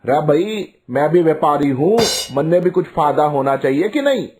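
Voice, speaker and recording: Man acting a gruff Haryanvi uncle. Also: on the high side, lively intonation, normal speed, noisy background, close to an everyday voice. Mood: brusque, bargaining. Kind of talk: Hindi cartoon voice acting.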